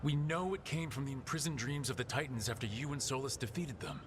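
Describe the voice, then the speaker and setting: A man's voice: mid-range voice, fairly quick, slight background noise, close to an everyday voice. A man playing a determined, heroic protector in a video game, speaking directly and insistently as he pleads a case. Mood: earnest, persuasive. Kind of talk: dramatic video game acting.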